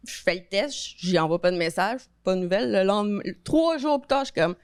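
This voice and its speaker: A woman's voice: mid-range voice, fairly quick, clean tone, dry recording, clean studio sound. A woman in her thirties with a Québécois French accent, a podcast guest talking candidly and expressively in a casual chat. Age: 30s. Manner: candid, expressive, colloquial storytelling.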